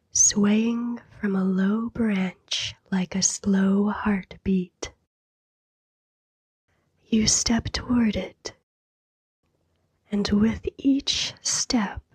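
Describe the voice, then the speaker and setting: Very slow, breathy whispering woman. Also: medium pitch, dry recording. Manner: hushed, soothing, unhurried with long pauses. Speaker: guided sleep meditation narrator. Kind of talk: whispered, scripted sleep meditation narration.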